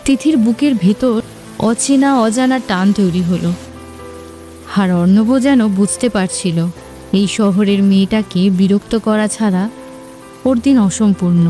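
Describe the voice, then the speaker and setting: A woman's voice: medium pitch, unhurried, mellow. A woman narrator reading a scripted romantic story. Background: music.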